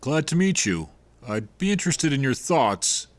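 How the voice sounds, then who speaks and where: A man's voice: medium pitch, big pitch swings, moderate pace, close to an everyday voice. A secretive researcher who chooses his words carefully, played by a man. His voice sits in a plain middle range in this audio drama.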